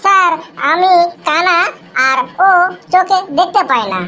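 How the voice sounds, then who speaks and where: A woman plays a comic cartoon patient, naive and a little cheeky. The voice sits very high, in a playful dialogue style. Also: expressive, measured pace, pushed and bright, exaggerated voice. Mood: earnest.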